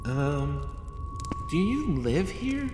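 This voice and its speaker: A woman's voice: deep voice, sing-song, extremely slow, heavily acted. A woman voicing a timid, wary schoolgirl unicorn filly, hesitant and cautious, in an audio fan-fiction drama. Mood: nervous, puzzled. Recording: echoey hall reverb, music behind. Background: background music.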